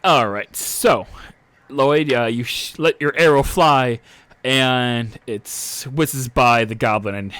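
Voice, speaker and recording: Halting young man running a game. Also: mid-range voice, fairly bright tone, smooth, dry recording. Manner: hesitant, lots of uh and restarts. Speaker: dungeon master. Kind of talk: narrating a tabletop game.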